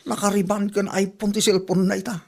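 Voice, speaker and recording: A man's voice: high voice, little pitch movement, fast, creaky, darkish tone. A man acting a gossipy, disapproving village husband in an Ilocano comedy drama, with a Filipino Ilocano accent.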